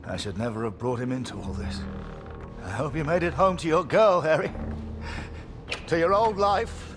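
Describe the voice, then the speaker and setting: An actor playing a haunted, sardonic wartime officer, musing dryly in a game drama over music. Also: male voice, fairly low pitch, quick pace, close to an everyday voice. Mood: regretful. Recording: music behind the voice.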